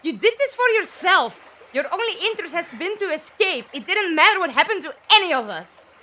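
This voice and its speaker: Woman acting a very high-pitched, feisty companion. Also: sing-song, a little fast, bright, forceful tone, heavily acted. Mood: angry, defiant. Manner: blunt, emotive. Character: feisty, outspoken female companion to an adventurer. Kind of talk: fan audio drama acting.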